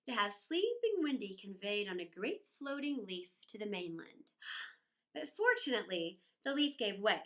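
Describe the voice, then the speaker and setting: A woman reading a children's story aloud in an expressive, dramatic way, with musing asides and pauses. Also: a little high.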